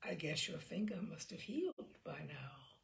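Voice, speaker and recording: A woman voicing a patient, kind female telephone operator in a storybook reading, speaking softly, quietly and clearly. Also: fairly low pitch, sing-song, measured pace, pitched below the performer's own voice, full character voice. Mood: quiet, tender. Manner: soft-spoken, clear, quiet. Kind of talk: storybook read-aloud.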